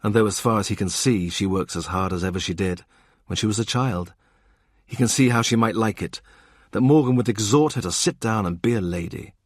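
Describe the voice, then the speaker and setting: Husky male audiobook narrator. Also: lowish voice, fairly quick, no echo, clean studio sound. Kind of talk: scripted narration.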